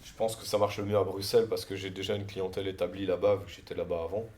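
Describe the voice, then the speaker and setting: A man's voice: low voice, quick pace. A man who grew up in Brussels and works as a tattoo artist, chatting casually and hesitantly, with lots of fillers. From southern France, raised in Brussels. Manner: relaxed, hesitant, many fillers. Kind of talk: casual podcast chat.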